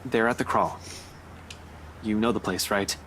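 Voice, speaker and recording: A man's voice: on the low side, fairly even intonation, unhurried, a bit bright, a little hiss or hum. A man voicing a guarded, blunt detective in a horror visual novel, terse and commanding. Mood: urgent, firm. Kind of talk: visual novel dialogue read aloud.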